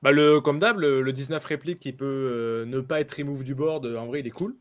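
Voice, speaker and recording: A man's voice: medium pitch, steady tone, fairly quick. A man on a card game panel, chatting casually with slangy, teasing asides. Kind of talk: casual strategy discussion.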